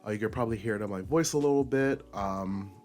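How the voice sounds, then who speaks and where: A man with a low-pitched voice, slightly hoarse and nasal from being sick, rants about an online controversy on his own channel. He talks emphatically and keeps leaping up into high, excited bursts. Soft guitar music plays faintly under his voice. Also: fast. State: raspy, slightly nasal from a recent illness. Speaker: commentary YouTuber host. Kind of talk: unscripted rant commentary.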